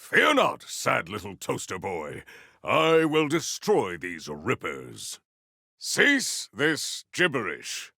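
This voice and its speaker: An actor playing a formal, valiant, earnest warrior hero in a video game, with stately, theatrical diction. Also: male voice, mid-range voice, huge pitch swings, takes it slowly, creaky, bright, forceful tone, dry recording, clean studio sound, heavily acted. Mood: bold, commanding. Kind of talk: scripted video game character lines.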